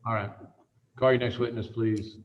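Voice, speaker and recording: A male judge presiding over a hearing on a video call, measured and procedural, with "uh" hesitations. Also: mid-range voice, fairly even intonation, a little hiss or hum. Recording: dull lo-fi call audio.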